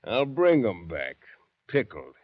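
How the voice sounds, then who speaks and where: An actor playing a callous, mocking, greedy ship's captain in a 1940s radio drama. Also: male voice, mid-range voice, no room sound, heavily acted. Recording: dull vintage 1940s radio recording.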